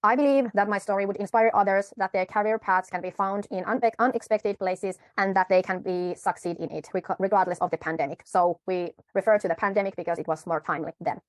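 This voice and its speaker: A woman coaching viewers through a media pitch in a tutorial, unhurried, pausing and correcting herself often. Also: fairly even intonation, no room sound. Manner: unhurried, with frequent pauses and self-corrections. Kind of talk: tutorial talk to camera.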